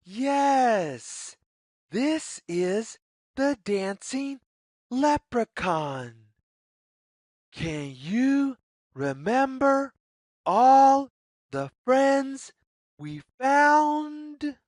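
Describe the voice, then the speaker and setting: A woman reading a story aloud for young children, phrasing deliberately and putting questions to the listener. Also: sits high, very animated, darkish tone, no echo, studio quality. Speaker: children's read-aloud narrator.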